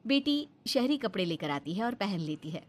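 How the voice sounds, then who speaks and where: A woman's voice: mid-range voice, big pitch swings, normal speed, heavy background noise. A woman storyteller reading scripted narration for a family tale.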